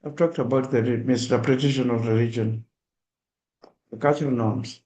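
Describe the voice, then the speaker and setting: A man's voice: mid-range voice, steady tone, moderate pace, mellow. A middle-aged man with a Kenyan accent giving an earnest, direct public talk and acting out examples in the first person. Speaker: speaker at a diaspora awareness event. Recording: echoey room.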